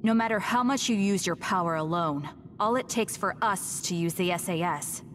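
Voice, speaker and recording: An actress playing a resolute young soldier heroine in a video game, firm, direct and controlled. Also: female voice, fairly low pitch, moderate pace, a bit bright, a little hiss or hum, close to an everyday voice. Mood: firm challenge. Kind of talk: dramatic video game acting.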